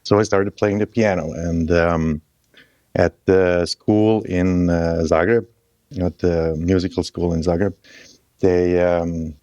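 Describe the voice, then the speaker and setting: A man in his thirties from Croatia, a guest musician chatting casually in a halting, loose way with restarts. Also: sits low, fairly even intonation, dark tone, no echo, highs cut off. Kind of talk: casual interview chat.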